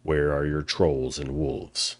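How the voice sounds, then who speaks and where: Man playing a very deep, grim warrior chief. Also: flat delivery, slow, slightly rough, heavily acted. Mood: grim defiance. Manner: terse, scornful, archaic.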